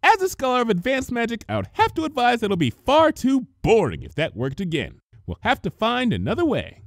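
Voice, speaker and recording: A man's voice: very high voice, wildly swooping pitch, brisk, no echo, studio quality, pitched above the performer's own voice, full character voice. A man voicing a bookish young female cartoon unicorn pony, earnest and precise in diction. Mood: scholarly.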